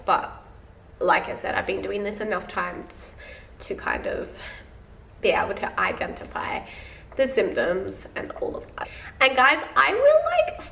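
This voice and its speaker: A woman's voice: mid-range voice, gravelly, a bit bright. A young woman with a head cold talking casually to her viewers in a video diary, chatty and full of fillers, calling them guys. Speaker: vlogger. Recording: roomy, slightly distant, faint noise.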